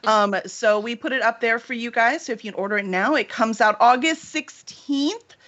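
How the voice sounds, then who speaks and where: A woman's voice: on the high side, normal speed, fairly bright tone. A woman hosting a casual book livestream as the publisher, talking in an enthusiastic, gushing manner. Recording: slightly dull online stream audio.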